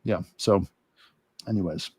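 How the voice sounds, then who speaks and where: Low-voiced man teaching art, dry recording. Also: a little slow, husky, no echo. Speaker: art instructor and illustrator. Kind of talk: casual livestream demo talk and teaching.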